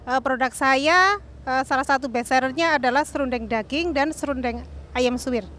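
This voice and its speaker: A woman from South Jakarta who runs a food business, speaking deliberately in an interview. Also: high-pitched, a bit bright, a little hiss or hum. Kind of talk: interview to camera.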